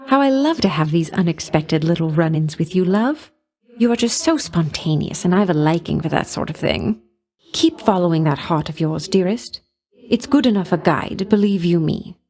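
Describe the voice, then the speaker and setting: An actress playing a coquettish, affectionate goddess of love in a video game, speaking in a grand, coy manner full of doting endearments. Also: female voice, fairly low pitch, darkish tone, close to an everyday voice. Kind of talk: video game character voice lines.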